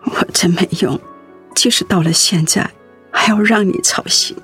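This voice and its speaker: An actress playing a gentle, anxious wife who cares for her sick husband in a Mandarin radio drama. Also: mid-range voice, normal speed, close to an everyday voice. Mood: worried, downcast. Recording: music under the voice.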